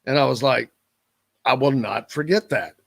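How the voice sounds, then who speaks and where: A man's voice: mid-range voice, moderate pace, creaky, husky. A male sports radio host talks in a loose, rambling way and jokes with his guests. His voice sits in the middle of the male range. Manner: joking, rambling, animated. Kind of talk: casual sports radio chat.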